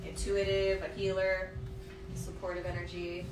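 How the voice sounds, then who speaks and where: A woman's voice: medium pitch, monotone. A woman reading tarot cards for a small group in casual chat, listing card meanings. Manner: quick, laughing, lists card meanings. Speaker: card reader. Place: small glass-walled shop room. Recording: roomy, distant mic with loud music.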